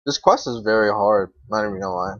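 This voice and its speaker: Low-voiced young man, muffled lo-fi mic. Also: fast talker, a bit bright, dry recording. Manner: informal, grumbling, frequent swearing. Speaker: gaming video creator. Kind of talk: casual gameplay commentary. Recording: dull lo-fi microphone, dry.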